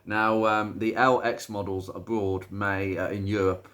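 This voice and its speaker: A British man with a slightly croaky voice from hay fever, presenting an informal car video in a chatty, hesitant way with rambling asides. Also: fairly low pitch, level tone, measured pace, fairly bright tone. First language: English. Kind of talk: informal car video commentary, reading out a viewer's message.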